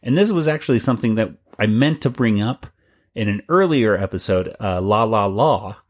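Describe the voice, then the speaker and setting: A man's voice: fairly low pitch, animated, moderate pace. A man co-hosting a podcast about a cartoon, talking casually and rambling a bit with restarts and laughs. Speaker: podcast co-host. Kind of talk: casual chat.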